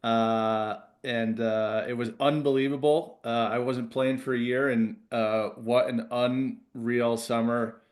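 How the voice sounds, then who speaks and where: A middle-aged man talking as a guest, telling stories in an informal, halting way. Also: mid-range voice, fairly even intonation. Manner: informal, halting, quotes dialogue. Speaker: guest, former relief pitcher. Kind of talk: casual anecdote.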